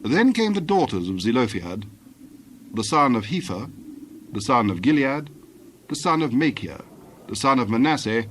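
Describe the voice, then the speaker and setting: A man serves as narrator for a dramatized Bible. His mid-pitched voice recites in a formal, measured, scripted way. Clear ambient noise sits behind the narration. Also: very animated.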